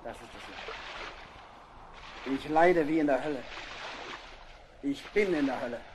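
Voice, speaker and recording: A man's voice: mid-range voice, a little slow, darkish tone, a little hiss or hum, natural voice. A man acting a stern, anguished naval officer leading a mutiny in a radio play. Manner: stern, commanding, dramatic.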